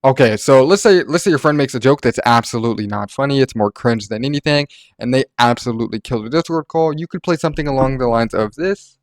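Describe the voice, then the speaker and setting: A man's voice: medium pitch, fast, few pauses, no echo, clean studio sound. A man narrating a casual gaming tutorial in an informal, rambling way, like a content creator talking to followers.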